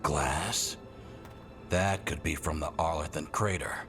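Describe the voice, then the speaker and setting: An actor playing a serious, dependable fantasy scout leader in a game, speaking in a grave, measured manner. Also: male voice, rumbling low, a bit bright, soft background music, heavily acted. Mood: grave, resolved. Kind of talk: video game voice acting.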